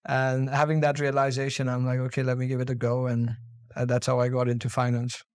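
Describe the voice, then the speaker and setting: A man's voice: mid-range voice, monotone, brisk. A man, a guest in a podcast interview, explaining earnestly with many fillers and restarts. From India. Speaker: guest, accountant.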